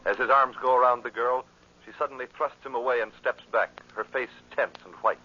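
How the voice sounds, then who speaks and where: A man narrating as a radio announcer in solemn, measured, dramatic old-time style. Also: medium pitch, steady tone, sharp tone. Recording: dull old radio recording with music.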